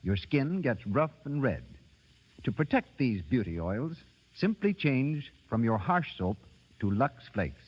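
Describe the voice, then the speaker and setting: Husky 1930s radio announcer, expressive man. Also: mid-range voice, a little slow. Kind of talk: 1930s radio announcing, scripted sponsor copy and credits. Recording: muffled 1930s radio broadcast, long hall reverb.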